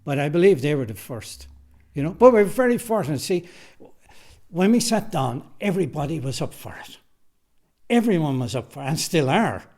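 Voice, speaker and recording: Man, Irish accent, slightly high pitch, emphatic, repetitive phrasing, casual interview answers, radio drama director. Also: sing-song, normal speed, darkish tone. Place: recording studio.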